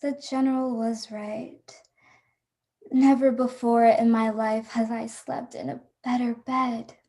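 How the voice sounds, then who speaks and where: A woman acting a hunted, defiant adventurer in a radio play. The voice is fairly high and keeps to a narrow range, telling the tale in dramatic, suspenseful first person. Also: level tone, slow, dark tone. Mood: cool, defiant. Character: hunted adventurer narrating her escape, tense and defiant.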